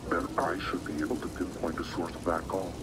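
An actor playing a cautious hacker contact on a phone call in a video game, measured and wry. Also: male voice, low voice, little pitch movement, very noisy, close to an everyday voice. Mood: cooperative. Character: cautious male underground hacker contact aiding a client.

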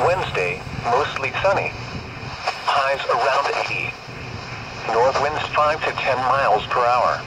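A synthetic man's voice reading a scripted weather broadcast in a measured, formulaic way, heard through a radio. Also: mid-range voice. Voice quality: synthetic text-to-speech voice. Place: played over a handheld weather radio inside a car. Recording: noisy handheld radio speaker in a car.

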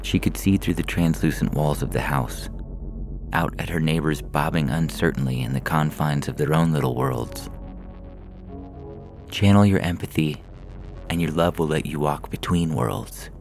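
A man narrates fiction in an extremely low voice with heavy fry. His reading is measured and deliberate, set over clearly audible music. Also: a little mellow. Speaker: podcast story reader.

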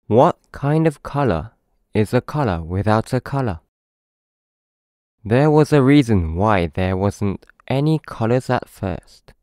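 Man, British accent, children's storybook narration, unhurried, expressive, close dry recording. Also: on the low side, dry recording. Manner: expressive, addressing a young child. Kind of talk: children's story read-aloud.

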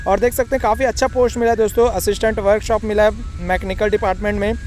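A young man hosting an exam-coaching video, talking fast and informally to his viewers beside a railway track, recorded on a phone. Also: high voice, level tone, a little mellow, soft background music. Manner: brisk, repeatedly addresses viewers as friends. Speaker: exam coaching channel host.

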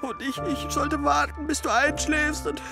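A woman's voice: high-pitched, fairly even intonation, unhurried, gravelly. A woman playing a timid, guilt-ridden male faun in a German radio play, faltering and repeating words. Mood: guilty, fearful. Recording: music behind the voice.

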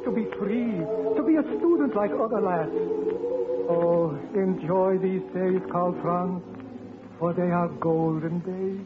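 Casting: kindly elderly royal tutor, high-set and courtly, theatrical vintage radio delivery, narrow old broadcast sound. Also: male voice, slow pace, very noisy. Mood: fond, encouraging. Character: kindly old doctor and former royal schoolmaster. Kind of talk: 1950s radio operetta acting. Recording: muffled 1950s radio broadcast, music behind.

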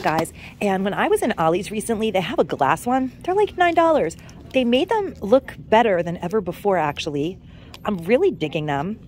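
A woman's voice: medium pitch, darkish tone, slight background noise. A woman hosting a shopping vlog, chatting enthusiastically about products as she walks store aisles with a handheld phone. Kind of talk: casual shop-with-me chat to camera. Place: discount store aisles, indoors.

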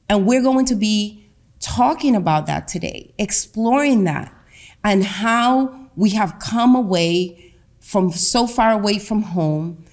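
Slow, deliberate woman speaker. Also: medium pitch, a little mellow. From Honduras. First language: Garifuna. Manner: slow, deliberate, emphatic delivery. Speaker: guest speaker. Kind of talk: public talk at a lectern. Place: meeting room with a seated audience. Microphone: lectern microphone.

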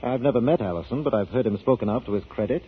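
An actor playing a possessive, imperious husband and opera manager, formal and emphatic in 1940s radio style. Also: male voice, medium pitch, very fast, husky, natural voice. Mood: firm, imperious. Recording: tinny, noisy 1940s radio broadcast.

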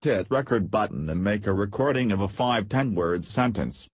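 A man reading scripted software tutorial narration in a measured, step-by-step way. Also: lowish voice, animated, slightly rough, no echo, clean studio sound.

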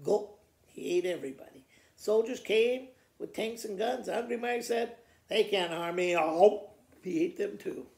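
A man in his sixties with a gravelly voice, reading children's poems aloud like a school principal to students, in a living room with the camera a little way off. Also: sits high, unhurried, darkish tone. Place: living room by a fireplace, indoors. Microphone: camera a short distance away. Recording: roomy indoor echo.

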